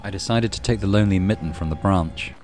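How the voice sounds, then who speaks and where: A man's voice: sits low, quick pace, darkish tone, soft background music. A man hosting a let's play, reading a horror visual novel aloud with dramatic storytelling and muttered asides. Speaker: gaming channel host.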